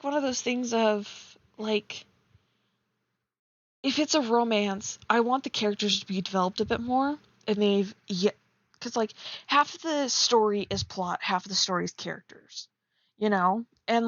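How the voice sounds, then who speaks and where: Higher-voiced woman, dry muffled mic. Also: moderate pace, a bit bright, no room sound. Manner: chatty, teasing, sarcastic asides, blunt critique. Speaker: streamer reading and critiquing fan fiction. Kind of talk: casual live commentary mixed with reading aloud.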